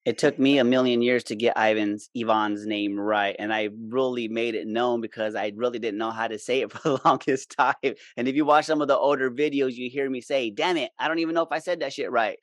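A man's voice: medium pitch, steady tone, brisk, few pauses, dry recording. Effusive man hosting a podcast, very dry sound. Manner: effusive, informal, slangy. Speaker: podcast host. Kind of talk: casual podcast chat.

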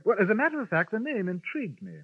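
An actor playing an urbane, dryly polite English newspaper correspondent in a 1950s radio western, with an English accent. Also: male voice, sits high, sing-song, racing, husky, no echo, heavily acted. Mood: courteous, measured. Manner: courteous, wry, understated. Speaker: lead actor and narrator. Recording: muffled vintage radio broadcast, music behind.

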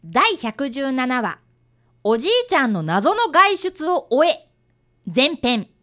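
A woman's voice: high-pitched, very animated, slow pace, bright, forceful tone, full character voice. A woman playing a polite, earnest fifth-grade boy who is an apprentice detective and narrates a radio drama.

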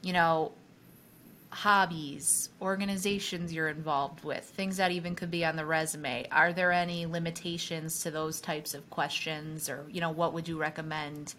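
Low-voiced woman hosting a legal podcast. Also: fairly even intonation, normal speed, bright, forceful tone, a little hiss or hum. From Buffalo area, New York. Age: adult, past law school. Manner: affirming, frequent "you know" fillers. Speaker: podcast host, employment lawyer. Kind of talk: professional podcast conversation.

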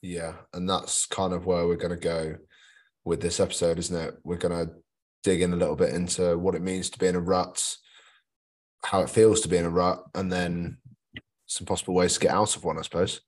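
A man's voice: deep voice, flat delivery, fairly quick. A man chatting casually as a podcast co-host, with dry, understated humour.

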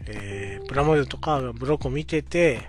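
Unhurried man in his forties reminiscing. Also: medium pitch, soft background music. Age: 40s. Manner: polite, unhurried, many filler sounds. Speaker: podcast host. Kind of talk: casual storytelling monologue.

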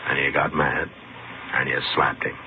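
An American actor playing a calm, dry, laconic frontier lawman in a 1950s radio western. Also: extremely low pitch, moderate pace. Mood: dry, patient. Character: big, calm, dryly wise frontier lawman. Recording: tinny, noisy 1950s radio broadcast recording.

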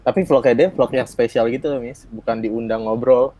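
A man's voice: mid-range voice, fairly even intonation, moderate pace, warm tone, slight background noise. A man with a Betawi Jakarta accent chatting casually and slangily on a podcast.

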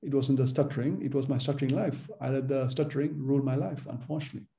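A man's voice: mid-range voice, fairly even intonation, fast talker, creaky, mellow. A middle-aged man hosting a podcast, earnest, sometimes stuttering on purpose. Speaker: podcast host. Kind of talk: conversational interview.

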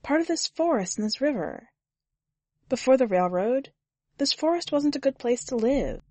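Woman voicing a solemn, resigned young woman, raised pitch. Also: expressive, moderate pace, a little mellow, dry recording. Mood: solemn, fervent. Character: resigned young woman, serene about dying in the forest.

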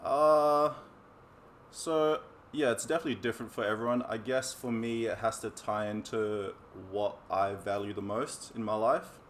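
A man's voice: lowish voice, normal speed, slight background noise. A man, chatting easily as an interview guest and coach, with frequent ums. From New Zealand. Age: 20s. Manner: easygoing, many ums, relaxed laughs. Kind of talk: casual interview chat.

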